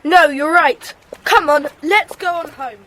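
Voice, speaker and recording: Bright, very high-voiced keen schoolboy. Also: female voice, a little slow, poor audio. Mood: eager, observant. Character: keen, observant schoolboy in a detective gang. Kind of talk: children's audiobook dramatisation.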